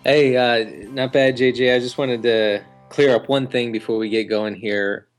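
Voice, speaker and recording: A man co-hosting a casual sports podcast, talking in a halting way full of ums and uhs, with dry jokes. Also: mid-range voice, steady tone, faint music behind. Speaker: podcast co-host.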